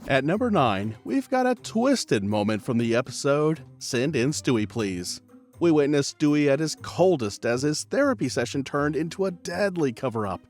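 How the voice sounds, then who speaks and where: A man narrating a cartoon recap in a playful, chatty scripted style. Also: mid-range voice. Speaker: channel narrator.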